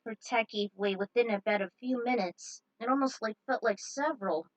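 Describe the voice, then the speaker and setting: A woman's voice: fairly high pitch, a little fast, no echo, poor audio. A woman narrating action fan fiction aloud as a dramatic story reading.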